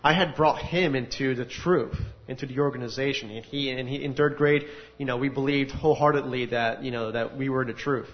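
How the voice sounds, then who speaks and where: A man's voice: mid-range voice, steady tone, brisk, few pauses. Earnest man telling his story, lo-fi. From United States. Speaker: speaker giving a testimony. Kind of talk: personal testimony at a faith gathering. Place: large room with an audience. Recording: dull lo-fi recording.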